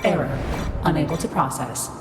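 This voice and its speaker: A cold bossy game ai, low voice, clipped n emotionless, big reverb, music behind. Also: female voice, animated, moderate pace. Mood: cold, formal. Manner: formal, clipped, emotionless machine delivery. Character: cold, imperious female supercomputer system, rigidly logical. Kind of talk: video game cutscene voice acting. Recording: echoey long reverb under clear music.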